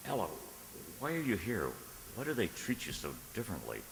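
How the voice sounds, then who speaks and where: A man playing an earnest, anxious, lovestruck gentleman in a radio drama, with a stammering, dramatic delivery. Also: on the low side, wildly swooping pitch, brisk, creaky, reverberant, big-room sound, slight background noise, full character voice. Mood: tender concern. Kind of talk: radio drama acting, journal narration and dialogue.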